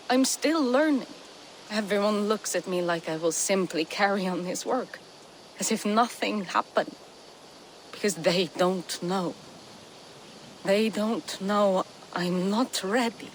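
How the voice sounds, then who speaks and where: An actress playing a gentle, doubtful young Viking apprentice in a video game cutscene, with a soft, halting, earnest delivery. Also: female voice, mid-range voice, a little hiss or hum, lightly acted, low-quality recording.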